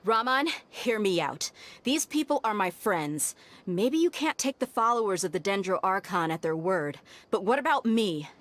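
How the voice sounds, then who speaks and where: A woman's voice: medium pitch, expressive, normal speed, fairly bright tone, natural voice. A woman playing a bold, level-headed mercenary in a video game, speaking bluntly and firmly, challenging and loyal. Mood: firm, persuading. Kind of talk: dramatic video game voice acting.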